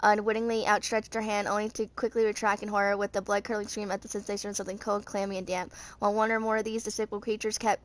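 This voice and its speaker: A woman in her twenties reading game lore aloud in a halting, stumbling way, as an amateur video creator. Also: mid-range voice, flat delivery. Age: 20s.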